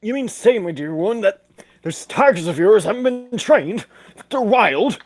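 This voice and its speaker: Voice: medium pitch, expressive, a little slow, no echo. An actor playing a pompous, florid old ham in a 1940s-style radio comedy. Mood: pointed, grand. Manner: florid, exclamatory, old-fashioned phrasing. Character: pompous, theatrical comic old ham actor Vitamin Flintheart.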